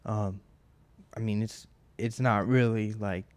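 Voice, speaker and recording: Young man in his twenties, solo podcast host, smooth resonant voice, dry close sound, loose rambling chat with swearing. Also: fairly low pitch, fairly even intonation, slow pace, a little mellow, no echo. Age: 20s. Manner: rambling, profane, with many fillers and restarts. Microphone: close mic at home.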